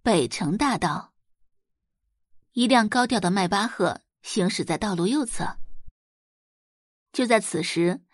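A woman's voice: mid-range voice, lively intonation, takes it slowly, pauses often, no room sound, clean studio sound. A woman reading scripted dramatic narration as an audiobook narrator.

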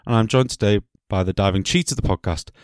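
Host: low man, chatty and jokey, slight cough, dry sound. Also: fast talker, darkish tone, smooth, dry recording. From England. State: has a cough. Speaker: podcast host. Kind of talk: casual football podcast chat.

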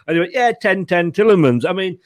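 A man hosting a casual chat show, chatty and quick to laugh, with many restarts. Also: high voice, lively intonation, no room sound, clean studio sound. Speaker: host.

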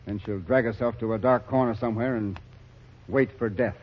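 An actor playing a hardened, blunt army captain working famine relief, grim and weary, in a 1940s radio drama. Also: male voice, fairly low pitch, a little fast, close to an everyday voice. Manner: blunt, grimly weary, callous. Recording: dull old radio recording.

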